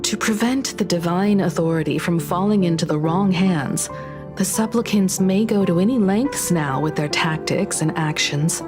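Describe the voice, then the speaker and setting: Thoughtful courteous female companion, game role, actress: low-ish, unhurried, formal, with background music. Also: lightly acted. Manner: measured, formal. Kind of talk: video game voice acting. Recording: music under the voice.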